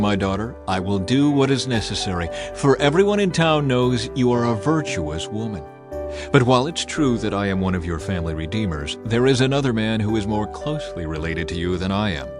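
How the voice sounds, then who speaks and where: A man's voice: lowish voice, close to an everyday voice. A man voicing a kind, decisive, honorable landowner with emphatic, formal speech in a Bible story. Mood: earnest, reassuring.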